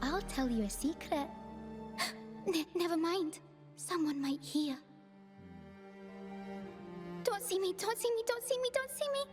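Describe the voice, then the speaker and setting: A very high, girlish fawn creature speaks over clear background music. She is shy and sweet, stammers when nervous and sounds wistful, like a gentle video game champion. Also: animated, measured pace, pauses often. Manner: timid, hesitant, stammering, apologetic. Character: shy, anxious young female dream fawn, sweet and wistful. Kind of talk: video game character voicelines.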